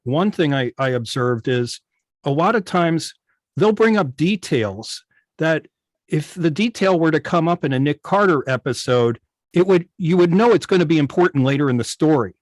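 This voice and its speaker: A man's voice: fairly high pitch, animated, moderate pace, no room sound. A man speaking as a guest in an online meeting, explaining details in a casual, analytical way. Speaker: guest, old-time radio station operator.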